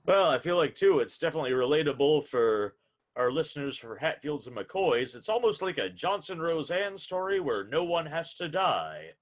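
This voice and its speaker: A man's voice: on the high side, big pitch swings, normal speed. A man hosting a radio hour, with a formal announcer's delivery for introductions and interview questions.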